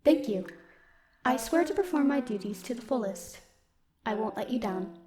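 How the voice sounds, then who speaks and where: A woman playing a stoic, dutiful military robot in an audio roleplay, speaking in a formal, measured, protective way. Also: fairly high pitch, lively intonation, natural voice. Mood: composed, caring. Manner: formal, measured, literal wording. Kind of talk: scripted audio roleplay, speaking softly to one listener.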